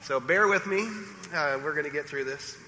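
A man preaching a sermon as pastor, hoarse from a sore throat, with an informal, self-deprecating manner. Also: fairly high pitch, moderate pace, pushed and bright, a little hiss or hum. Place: church sanctuary. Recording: reverberant church hall, faint ambience.

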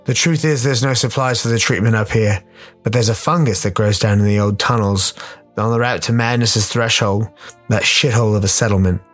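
A man's voice: lowish voice, brisk, a bit bright, soft background music. A man playing a grim but caring rogue doctor, explaining things matter-of-factly in a radio play. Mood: grave. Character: rogue slum doctor, grim, knowing, soothing.

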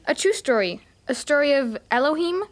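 Young girl, high-pitched, earnest, bossy, brave leader, children's audio drama. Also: expressive, normal speed.

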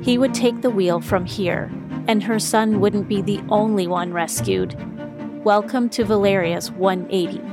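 A woman hosting a faith podcast, narrating an introduction and then asking friendly, measured interview questions. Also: mid-range voice.

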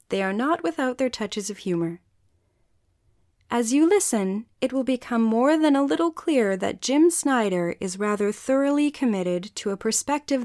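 A woman's voice: on the high side, darkish tone. Woman reading a scripted podcast introduction.

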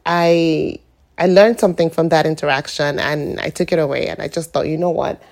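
Croaky, low-pitched woman. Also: fairly even intonation, normal speed, a little mellow. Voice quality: croaky morning voice. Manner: rambling, reflective. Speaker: podcast host. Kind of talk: informal solo podcast monologue, personal reflection.

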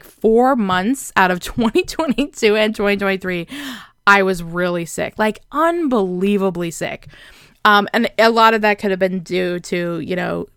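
Chatty young woman, smooth dry voice. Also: mid-range voice, a bit bright, no room sound. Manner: chatty, earnest, many fillers like "like" and "right?". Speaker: vlogger. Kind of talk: casual reflective talk to camera.

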